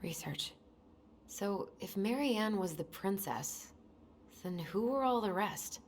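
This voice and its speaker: A woman's voice: medium pitch, lively intonation, takes it slowly. A woman playing a wry, reflective young adult in a video game, speaking in an understated way. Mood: dry, teasing. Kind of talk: game cutscene voice acting. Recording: faint background noise.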